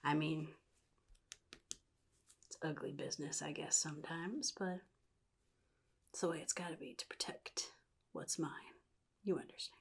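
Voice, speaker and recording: A woman playing a sly, chaotic supervillain in a soft-spoken ASMR roleplay, often dropping into a breathy whisper with a casual, menacing drawl. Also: sits low, fairly even intonation, a little slow, pauses often, heavily acted. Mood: quietly menacing. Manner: casual drawl, offhand, slyly threatening.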